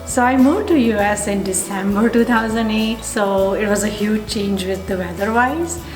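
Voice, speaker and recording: A woman's voice: mid-range voice, moderate pace. Indian-accented woman, echoey room with music. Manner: hesitant, many fillers and restarts. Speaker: interviewee, physical therapist. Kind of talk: conversational interview.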